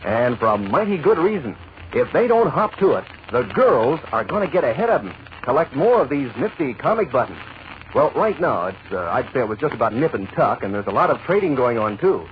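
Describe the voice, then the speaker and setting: A man's voice: mid-range voice, sing-song, fairly quick. A man announcing a folksy 1940s radio advertisement, chummy and salesmanlike. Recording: muffled, hissy 1940s radio recording.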